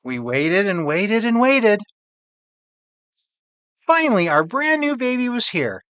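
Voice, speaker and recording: A man voicing a loving storybook mother who fondly recalls happy times, with drawn-out, emphatic phrasing. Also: sits high, sing-song, a bit bright, smooth, no room sound, voice pushed up, heavily acted. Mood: fond, joyful.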